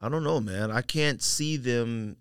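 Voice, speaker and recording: A man's voice: mid-range voice, measured pace, a bit bright, clean tone, no room sound, studio quality. A man hosting a podcast, talking sneakers casually in an informal, slangy way. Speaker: podcast host.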